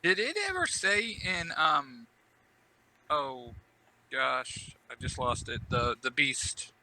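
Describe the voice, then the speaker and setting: A man's voice: mid-range voice, animated, takes it slowly, sharp tone, poor audio. A man in casual fan chat on a podcast, informal and often asking questions. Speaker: podcast speaker.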